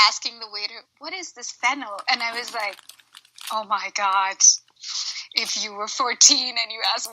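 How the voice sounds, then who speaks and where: A woman's voice: medium pitch, sharp tone, rough recording. A woman from Dubai in her thirties, a home cook guest talking animatedly in a casual interview and laughing often.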